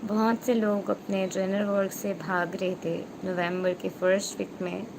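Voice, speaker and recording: This is a woman giving a tarot reading to viewers, her voice carrying a gravelly, fry-laden texture at a middling pitch. She stretches her phrases slowly with pauses, then rushes through quick bursts. A clear, steady hiss of noise runs under the recording. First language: Bengali. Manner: slow, drawn-out phrasing with frequent pauses, switching into rapid bursts. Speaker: tarot reader and spiritual coach. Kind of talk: tarot card reading for an online audience.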